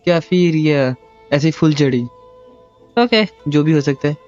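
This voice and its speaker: Woman game streamer, low voice, talks super fast, muffled mic with game music behind. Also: fairly even intonation, a little mellow. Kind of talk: casual reactions while gaming.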